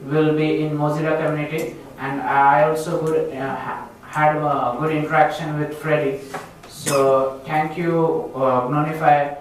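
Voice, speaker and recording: A man with an Indian accent giving an informal self-introduction to a group, speaking in formal, earnest phrases. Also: on the high side. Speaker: student attendee speaking at a community meetup. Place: echoey indoor event room. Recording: echoey room, distant microphone.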